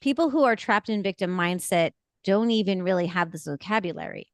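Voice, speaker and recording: Female co-host for a talk podcast, mid-pitched, confident and explanatory, dry close-miked sound. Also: animated, brisk, no echo. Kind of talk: casual podcast conversation.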